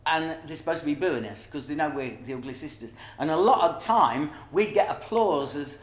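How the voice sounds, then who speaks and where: A man's voice: on the high side, expressive, normal speed. A middle-aged man telling stories emphatically in a casual interview about his stage work. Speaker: pantomime dame performer. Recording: echoey room.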